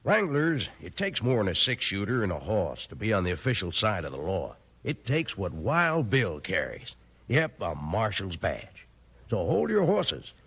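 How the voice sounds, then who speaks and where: A man with an American accent delivering a folksy, emphatic 1950s Western-style radio advertisement in a husky voice. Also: on the low side, a bit bright. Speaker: radio commercial announcer.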